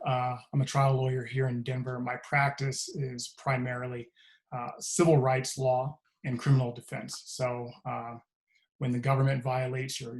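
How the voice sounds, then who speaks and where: A man panelist introducing himself in a relaxed, conversational way with many fillers over a video call. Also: mid-range voice, poor audio. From California. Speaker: panelist, trial lawyer.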